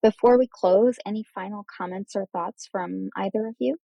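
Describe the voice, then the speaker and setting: Woman podcast host doing a formal medical interview, close dry sound, mid pitch. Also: normal speed, creaky, no room sound, dull audio.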